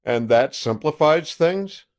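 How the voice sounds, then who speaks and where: A man reading aloud voices a calm, shrewd old male detective who speaks in a measured way. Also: fairly high pitch, pitched above the performer's own voice. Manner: measured, restrained.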